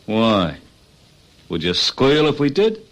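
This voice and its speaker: An actor playing a bossy, scornful Australian leader of a train robbery gang in a radio drama. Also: male voice, mid-range voice, huge pitch swings, slow, exaggerated voice. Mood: scornful, menacing.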